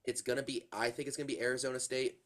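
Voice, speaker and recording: A man's voice: mid-range voice, little pitch movement, very fast, a bit bright. A congested man co-hosting a casual college football podcast, rambling with plenty of fillers and restarts. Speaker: podcast co-host. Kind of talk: casual sports chat.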